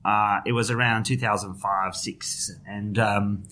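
A man with a South African accent and a bright, forceful voice, talking as an interview guest. He is middle-aged and talks in a hesitant, conversational way, full of fillers and restarts. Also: fairly low pitch, steady tone, measured pace. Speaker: guest, finance journalist.

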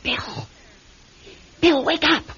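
An American actress playing a nervous, secretive, sharp-tongued young woman stranded as a passenger in a radio drama. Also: high-pitched, very slow, heavily acted. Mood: frightened. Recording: dull, noisy old radio recording.

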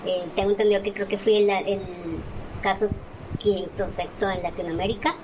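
A woman's voice: medium pitch, fairly even intonation, brisk. Mexican woman in her forties, noisy recording. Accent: Mexican Spanish. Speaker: interview guest, author and speaker. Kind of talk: conversational personal storytelling.

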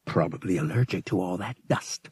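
A man's voice: low-pitched, moderate pace, darkish tone, slightly rough, dry recording, clean studio sound, full character voice. A man voicing a cocky, wry young male pilot in an audiobook, with casual, clipped delivery. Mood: wistful muttering. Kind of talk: audiobook character voice.

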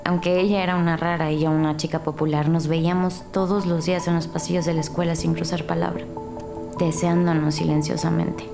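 Creaky-voiced teenage girl suspect. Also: low voice, little pitch movement, a little fast. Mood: guarded confession. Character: teenage popular schoolgirl confessing as murder suspect. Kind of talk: scripted audio drama dialogue. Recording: music bed behind voice.

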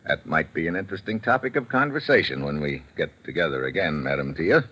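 An actor playing a cocky, wisecracking American pilot with casual, slangy, tough talk in an old radio drama. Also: male voice, extremely low pitch, lively intonation, brisk, bright, forceful tone, slightly rough, full character voice. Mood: smooth, wry. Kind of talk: old-time radio drama acting and first-person narration. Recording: vintage radio drama recording.

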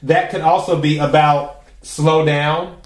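A man with a raspy voice hosting a podcast, giving a casual, chatty tarot reading and musing aloud with drawn-out pauses. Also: fairly high pitch, steady tone. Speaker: tarot reader and podcast host. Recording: roomy echo.

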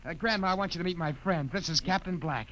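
A man's voice: high voice, fast talker, crowd noise, lightly acted. A man playing an eager, emotional young spaceship lieutenant in old-time radio drama style, with an earnest, breathless manner. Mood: delighted. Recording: noisy vintage radio recording.

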